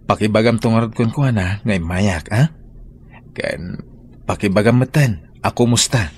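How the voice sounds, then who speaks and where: A man playing a polite, patient gentleman suitor in a radio drama, speaking with a Filipino accent. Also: fairly low pitch, measured pace, slight background noise, lightly acted. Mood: polite, resigned. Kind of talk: Ilocano radio drama acting.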